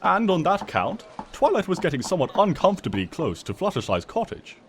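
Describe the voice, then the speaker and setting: A man performs a smug, theatrical trickster creature narrating a comic storybook. The voice sits slightly high and swoops dramatically from phrase to phrase. The delivery is pompous and wry, full of mock innocence, with faint noise behind it. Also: wildly swooping pitch, a little fast, exaggerated voice.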